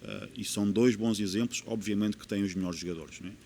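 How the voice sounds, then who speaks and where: A man's voice: on the low side, fast talker, mellow, slight background noise. Portuguese guy, football coach talking tactics on a podcast, earnest, repeats himself for emphasis. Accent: European Portuguese. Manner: earnest, explanatory, repeats key phrases for emphasis. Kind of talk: podcast interview.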